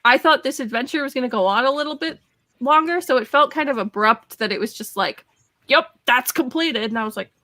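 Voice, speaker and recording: A woman's voice: sits high, brisk, bright, forceful tone, no echo, studio quality. A woman chatting casually in a live book discussion, very colloquial and acting out reactions as she goes.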